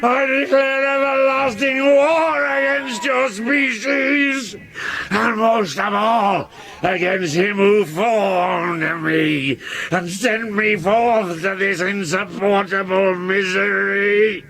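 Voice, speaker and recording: An actor playing an anguished, vengeful outcast monster who speaks in formal, theatrical language in a radio drama. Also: male voice, high-pitched, fairly even intonation, creaky, a bit bright, exaggerated voice. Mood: raging. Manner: formal, slow, theatrical delivery. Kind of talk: radio drama acting, first-person narration and dialogue.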